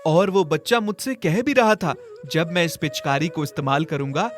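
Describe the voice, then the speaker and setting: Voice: mid-range voice, moderate pace, natural voice. An actor voicing a poor, devout, kind-hearted orphan boy in a children's cartoon story, earnest and full of wonder. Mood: amazed.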